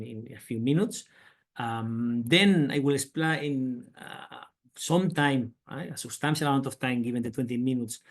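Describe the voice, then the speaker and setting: A middle-aged man with a Spanish accent teaches a webinar class over a computer mic. His voice sits at an ordinary middle pitch, and he keeps checking in with his audience with a quick "right?". He is eager and talkative, stacking examples as he goes. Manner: tag questions and "right?" fillers, enthusiastic. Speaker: business school professor. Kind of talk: webinar lecture. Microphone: computer mic on a video call.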